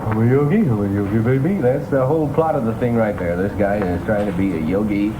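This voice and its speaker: A man's voice: on the low side, quick pace, rough recording. Playful 1960s radio DJ man, music behind. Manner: playful, hip showman banter. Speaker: radio disc jockey. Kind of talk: 1960s top-40 radio DJ patter.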